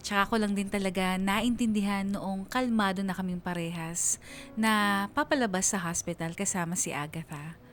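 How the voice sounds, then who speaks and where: A woman's voice: medium pitch, fairly even intonation, normal speed, soft background music, close to an everyday voice. A woman playing a young student who earnestly and remorsefully narrates her love story in a confessional radio drama style. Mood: remorseful, reflective. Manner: reflective, confessional.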